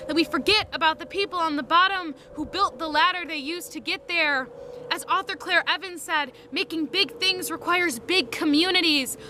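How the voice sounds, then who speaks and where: A woman's voice: very high voice, sharp tone, slight background noise. A woman performing spoken-word slam poetry in an emphatic, rhythmic, declamatory way. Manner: emphatic, rhythmic, declamatory delivery with rhetorical questions. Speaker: slam poet.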